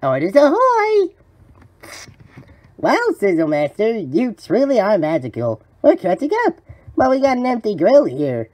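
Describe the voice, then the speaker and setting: A man voicing a cheerful, gullible young sea sponge fry cook for a cartoon, eager and naive. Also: sits high, wildly swooping pitch, measured pace, darkish tone, exaggerated voice. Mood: excited, grateful. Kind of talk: cartoon fan voice acting.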